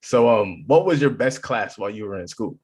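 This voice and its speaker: A man's voice: on the low side, lively intonation, fairly quick, a little mellow, breathy edge. A man hosting an education podcast, asking his guest questions in a friendly, loose, rambling way. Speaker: podcast host. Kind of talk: conversational podcast interview.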